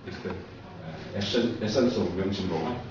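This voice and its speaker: A man with a Korean accent, a professor lecturing haltingly in short phrases of non-native English. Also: low-pitched. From South Korea. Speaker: professor, co-presenter. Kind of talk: public lecture, answering questions. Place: echoey indoor lecture room. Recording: very echoey room, distant muffled mic, noise.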